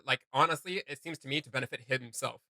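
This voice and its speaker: A man's voice: mid-range voice, quick pace, bright, forceful tone, no echo. A man hosting a reaction video, thinking aloud in casual, tentative commentary.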